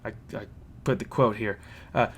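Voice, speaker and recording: Man giving a casual, animated recap. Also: fairly low pitch, moderate pace, slightly rough. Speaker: reviewer hosting a fan recap. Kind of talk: casual spoken plot recap and commentary.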